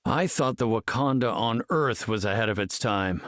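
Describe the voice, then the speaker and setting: A man's voice: on the low side, normal speed, a bit bright, dry recording, studio quality, close to an everyday voice. A man playing an earnest, brainy scientist superhero in a video game, speaking in a composed, formal, articulate way. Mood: calm, reflective. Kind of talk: video game hero voice lines.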